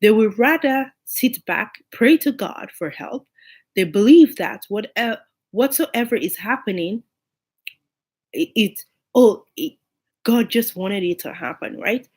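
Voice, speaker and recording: A woman with a cold and a hoarse, unclear voice, giving an informal sermon to her online listeners and repeating key phrases for emphasis. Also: mid-range voice, a little mellow. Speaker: online preacher.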